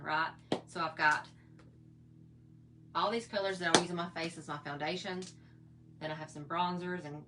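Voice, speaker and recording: Chatty, slightly hoarse woman doing makeup. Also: lowish voice, very noisy. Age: middle-aged. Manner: chatty, rambling, folksy. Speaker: makeup vlogger. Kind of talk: casual makeup tutorial chat.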